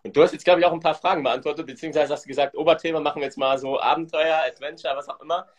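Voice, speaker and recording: A man's voice: on the high side, steady tone, rapid-fire. Upbeat Swiss-accented man hosting. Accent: Swiss German. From Switzerland. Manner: upbeat, enthusiastic slang. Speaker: host. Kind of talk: casual live-stream chat. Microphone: video call.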